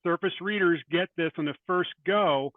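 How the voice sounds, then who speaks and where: A man speaking as a writer guest in an interview, thoughtful and halting, often repeating words as he goes. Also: high voice, dry recording, phone-quality sound. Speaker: guest, short fiction writer. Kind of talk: interview conversation.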